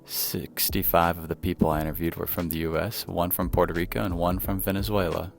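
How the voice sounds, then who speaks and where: Calm low-voiced young man narrating. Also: brisk, vocal fry. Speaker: nursing student interviewing classmates. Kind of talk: narrated video project with interviews.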